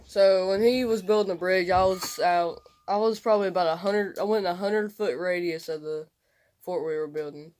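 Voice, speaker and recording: A young man recounting an experience casually and excitably in colloquial speech. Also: sits high, moderate pace. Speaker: co-host telling a personal story. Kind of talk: casual storytelling chat.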